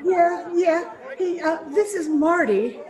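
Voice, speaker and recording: A woman's voice: very high-pitched, slow, crowd noise. A woman playing a polite, evasive lodger in an old-time radio play, heard from off the mic on an echoey video call. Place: actor's home, recorded over video call. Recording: distant, echoey video call, faint voices behind.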